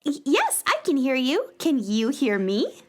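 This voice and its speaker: A woman's voice: sits high, huge pitch swings, unhurried, exaggerated voice. A woman playing a plucky, polite young girl adventurer in a children's space radio play.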